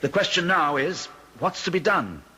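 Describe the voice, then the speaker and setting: Commanding gothic gentleman, swooping delivery. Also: a little high, sing-song, normal speed, husky, heavily acted. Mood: stern. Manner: formal, theatrical, stern. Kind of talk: old-time radio drama acting.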